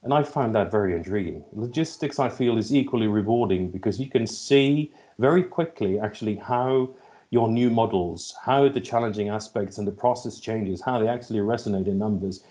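A man's voice: fairly low pitch, moderate pace, dark tone. A middle-aged man with a Finnish accent answering interview questions, hesitating and repeating words as he finds his phrasing. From Finland. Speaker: podcast guest, logistics executive.

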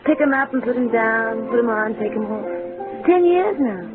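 A brassy, flirtatious showgirl played by a woman from a 1940s radio drama, slangy and a little guarded. Her voice is high and lively in pitch. The recording is muffled, phone-like vintage radio with music behind. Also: lightly acted. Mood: flirty. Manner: slangy, drawn-out, coy.

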